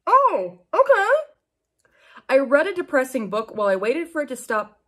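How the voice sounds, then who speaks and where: A young adult woman reading fan fiction aloud with animated, sarcastic casual commentary and free swearing. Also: high-pitched, normal speed. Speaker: book video host. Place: small room at home with bookshelves.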